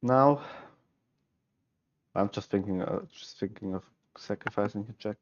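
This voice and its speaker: A man's voice: on the low side, lo-fi sound, slight background noise. A young man from Switzerland with a Swiss German accent, a native German speaker, thinking aloud as he streams chess puzzles and chats with viewers through a headset at home. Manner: musing, halting, self-correcting, talks to himself. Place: small room at home, indoors.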